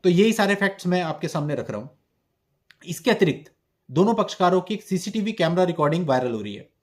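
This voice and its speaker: A man with a fairly high voice explains a legal matter as a video host. He speaks emphatically, often posing rhetorical questions and slipping English terms into his explanation. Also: very animated, fast talker. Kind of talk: explanatory commentary to camera on a legal case.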